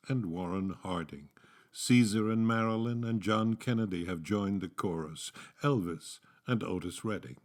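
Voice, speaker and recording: A man with a low voice recites verse very slowly. His delivery is solemn and dramatic, each phrase measured out like a formal reading. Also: darkish tone. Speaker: actor reading poetry.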